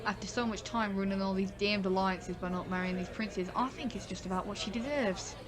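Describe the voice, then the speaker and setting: A woman's voice: medium pitch, fairly even intonation, fairly quick, crowd noise, close to an everyday voice. A woman playing a judgmental, snippy medieval village gossip who talks in a scolding, colloquial way in a comedic audio drama.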